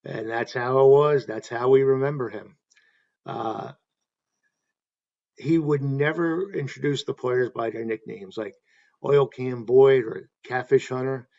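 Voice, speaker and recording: A man hosting a tribute talk, reading anecdotes in a halting way and correcting himself as he goes. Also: medium pitch, darkish tone, muffled recording. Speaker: host paying tribute to a stadium announcer.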